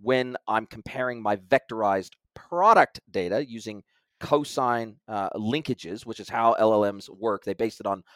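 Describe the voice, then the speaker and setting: A man's voice: fairly low pitch, unhurried, fairly bright tone, no room sound. A man as an interview guest, explaining technical ideas at length in casual conversation, often restarting his sentences. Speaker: guest expert.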